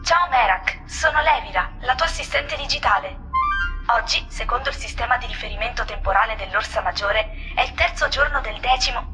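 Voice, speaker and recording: A woman's voice: a little high, brisk, music in the background, close to an everyday voice. A woman voicing a chirpy, literal-minded digital assistant in an audio drama, making formal, scripted announcements.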